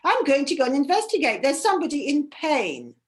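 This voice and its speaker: Brief: woman doing proud goddess, imperious, higher and louder than the narration. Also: sing-song, fairly quick. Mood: commanding. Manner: imperious, urgent. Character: proud young goddess, commanding and determined.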